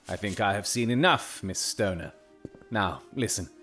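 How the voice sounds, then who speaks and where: An actor playing a coolly analytical Victorian gentleman detective in an audio drama, speaking precisely and formally with dry authority. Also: fairly low pitch, full character voice. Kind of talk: period audio drama acting.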